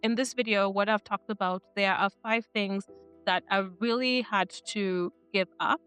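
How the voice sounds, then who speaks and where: A woman's voice: medium pitch, steady tone, unhurried, a bit bright, clean tone, faint music behind. A middle-aged woman from Kenya giving an earnest, reflective talk to camera, often restarting her phrases and checking in with "right?". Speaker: vlogger.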